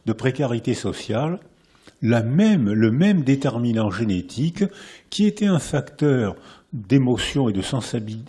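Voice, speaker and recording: A French-accented man lectures to a live audience in a hall with a warm, mellow voice and a slightly husky edge. He talks in a lively way, restarts his sentences and hammers points home through repetition. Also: mid-range voice. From France.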